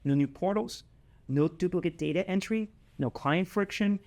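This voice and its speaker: A man with a slightly high voice delivers a scripted product announcement. He speaks as a company presenter addressing clients, stressing points emphatically and repeating key phrases. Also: normal speed, gravelly, darkish tone.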